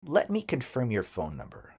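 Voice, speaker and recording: A man teaching an English lesson, speaking deliberately and enunciating carefully as he gives model phrases for learners to repeat. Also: medium pitch, wildly swooping pitch, a little mellow, breathy edge.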